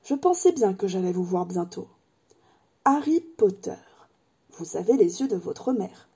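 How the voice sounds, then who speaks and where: Audiobook: woman reading as a strange old wand maker, measured and hushed, mellow and breathy. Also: mid-range voice, sing-song, exaggerated voice. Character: eccentric old male wand maker, intense. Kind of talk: audiobook character voice.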